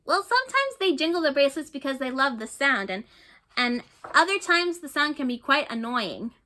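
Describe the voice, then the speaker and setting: Bright high-voiced woman reading a children's story aloud. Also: very animated, moderate pace. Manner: chatty, warm asides to young listeners, often repeating herself. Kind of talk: children's read-aloud with questions to listeners.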